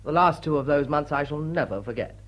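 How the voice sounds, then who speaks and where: An actor playing a dissipated, witty English aristocrat bachelor with an upper-class accent and an urbane, dry, teasing manner in a period drama. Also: male voice, on the high side, animated, brisk, fairly bright tone. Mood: dry, world-weary. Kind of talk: period drawing-room radio drama acting.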